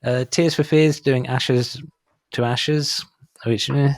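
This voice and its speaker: A man's voice: mid-range voice, steady tone, takes it slowly, no echo. A middle-aged man hosting a music podcast in casual chat, making wry, dismissive asides as he reads out a track list. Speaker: podcast host.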